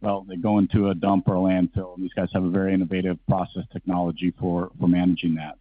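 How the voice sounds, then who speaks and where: A man moderating a conference session, introducing the company and asking questions briskly. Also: sits low, little pitch movement, husky, dry recording. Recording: dry, dull lo-fi sound.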